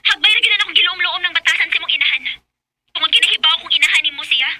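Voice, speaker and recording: A young wife who stands up for herself. Her voice is extremely high and sharp, and she talks quickly with a Cebuano Filipino accent. It is acted radio drama. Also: dry recording, heavily acted. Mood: aggrieved, defiant. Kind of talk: Bisaya radio drama acting.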